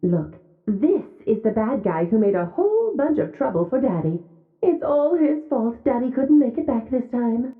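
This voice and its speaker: High, lilting, whimsical sorceress. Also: female voice, very animated, moderate pace, slightly rough, no room sound, phone-quality sound. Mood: motherly. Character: whimsical, worldly sorceress mother, playfully theatrical. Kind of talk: video game voice acting.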